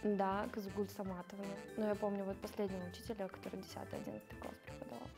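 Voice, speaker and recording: A woman's voice: mid-range voice, level tone, fast, a little mellow, soft background music. A woman in her twenties answering interview questions thoughtfully and with some hesitation, wearing a lapel mic. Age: 20s. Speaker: show participant. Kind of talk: casual interview. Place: studio with grey backdrop.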